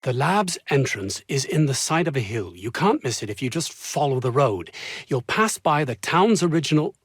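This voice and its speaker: A man's voice: mid-range voice, sing-song, normal speed, breathy edge, dry recording, clean studio sound. An actor playing a pompous, anxious, self-important male research scientist in a video game, speaking in wordy, formal phrases with flustered hesitations. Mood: flustered, guarded. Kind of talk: video game character dialogue.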